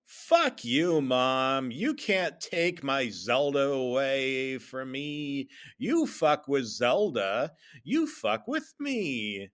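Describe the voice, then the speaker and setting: A man's voice: mid-range voice, big pitch swings, very slow, hardly any pauses, fairly bright tone, pitched above the performer's own voice, heavily acted. A man voicing a childish, sulky crybaby son who whines and protests in a comic story. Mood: defiant.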